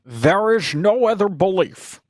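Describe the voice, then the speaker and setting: A woman's voice: mid-range voice, expressive, a little slow, darkish tone, dry recording. A woman playing an anxious, hesitant young male scientist with orthodox beliefs in a radio sci-fi drama. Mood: puzzled, curious. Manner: hesitant, incredulous, protesting. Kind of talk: cold-read old-time radio sci-fi drama.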